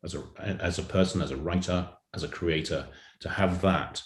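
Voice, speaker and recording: A man's voice: low voice, steady tone, normal speed. A man telling anecdotes as an interview guest on a video call, emphatic and prone to repeating phrases. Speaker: audio drama writer and guest. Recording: roomy, echoing video-call sound.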